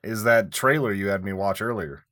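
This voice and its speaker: A man co-hosting a casual podcast, relaxed, with short replies and easy laughter. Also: fairly low pitch, moderate pace, no room sound. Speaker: podcast co-host. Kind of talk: casual chat.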